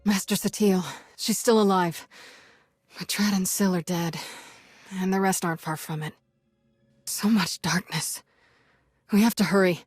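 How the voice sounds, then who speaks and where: An actress playing a compassionate Jedi woman in a video game, soothing and measured. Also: mid-range voice, steady tone, husky, dry recording, clean studio sound. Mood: concerned. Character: calm, compassionate Jedi woman leading meditation. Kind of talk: dramatic game acting.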